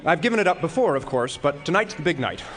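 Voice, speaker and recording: An actor playing a pompous, self-dramatizing retired philosophy professor in a stage comedy, speaking in wordy, self-mocking speeches. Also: male voice, a little high, sing-song, quick pace, fairly bright tone. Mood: grandiose, self-mocking. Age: older. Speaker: actor in an audio play.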